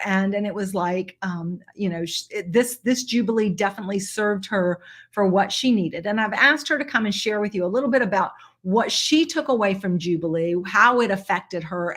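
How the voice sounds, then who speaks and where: A woman's voice: medium pitch, fairly bright tone. A middle-aged woman who hosts a sales team video call and tells a story in a chatty way, with plenty of fillers. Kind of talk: casual introduction on a video call.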